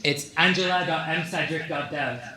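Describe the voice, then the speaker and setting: A man briskly rattles off what his project does. His tone is sharp and bright, and his voice sits a little high. He is far from the mic, and a big hall's echo rings around him. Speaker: hackathon team presenter. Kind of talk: elevator pitch ending with a demo link. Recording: echoey hall from far off.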